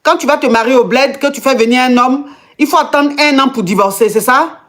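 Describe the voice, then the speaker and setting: A woman's voice: sits high, fairly quick, a bit bright. A woman with an Ivorian French accent hosts a live call-in advice chat, asking many questions and stammering repeats in disbelief. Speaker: host and coach.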